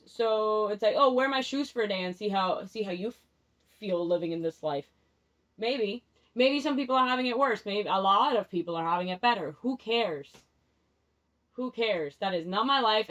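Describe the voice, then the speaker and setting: A young woman with a slightly high voice gives a rambling, candid tarot reading, circling back and restarting her thoughts. Spanish is her first language. The recording sounds a little dull and lo-fi. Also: a bit bright. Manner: rambling and candid, restarts often, laughs at herself. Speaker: tarot vlogger.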